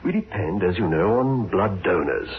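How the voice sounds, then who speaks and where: An actor playing an insistent doctor in a 1960s radio drama, reasoning firmly and formally to persuade. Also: male voice, deep voice, expressive, moderate pace. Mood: matter-of-fact. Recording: muffled, phone-like old radio recording.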